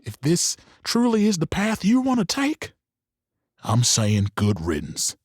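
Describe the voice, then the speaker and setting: Man acting a taunting saloon owner, swinging pitch. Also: wildly swooping pitch, measured pace, breathy edge, no echo, studio quality, full character voice. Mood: bitter, defiant. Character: gruff, world-weary male saloon owner, goading and defiant. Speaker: actor in a western audio drama. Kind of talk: theatrical western audio drama acting.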